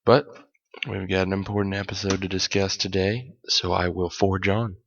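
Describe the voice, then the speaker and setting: A man hosting a casual solo podcast with a hoarse voice, rambling and correcting himself as he talks, with small jokes along the way. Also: sits low, level tone, moderate pace, highs cut off. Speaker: podcast host.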